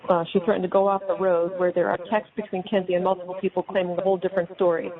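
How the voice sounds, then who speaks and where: A woman's voice: on the low side, level tone, rapid-fire. American woman on a tinny speakerphone line. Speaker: mother on a jail phone call. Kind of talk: casual phone talk and halting reading aloud. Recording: muffled phone line on speakerphone, chatter behind.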